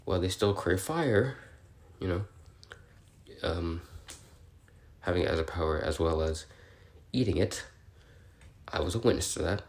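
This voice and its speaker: Man acting as bookish male researcher, low pitch, awkward, curious, hesitant rambling delivery, audio log drama. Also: measured pace, long pauses. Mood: hesitant, explanatory. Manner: hesitant, rambling, apologetic, politely explanatory. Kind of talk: in-character audio log, semi-improvised explaining.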